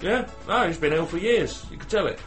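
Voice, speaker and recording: A man's voice: high-pitched, animated, brisk, a bit bright, soft background music. Dry Essex podcast man. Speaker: podcast co-host. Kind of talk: casual banter.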